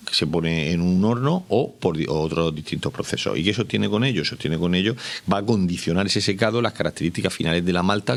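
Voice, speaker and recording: A man's voice: sits low, creaky. A man speaking as an academic expert, explaining informally and didactically, often posing questions and answering them himself. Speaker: biochemistry professor, expert.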